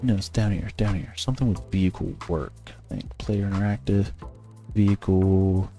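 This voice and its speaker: Gaming streamer, male, lowish voice; loose thinking-aloud commentary over faint music. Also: steady tone, moderate pace, dark tone. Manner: rambling, thinking aloud, self-correcting. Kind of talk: casual gameplay commentary.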